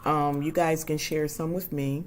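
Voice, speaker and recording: Husky, low-pitched woman, 40s, casual livestream host, chatty and friendly with viewers. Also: little pitch movement, a little slow. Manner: chatty and warm toward her viewers, frequent ums.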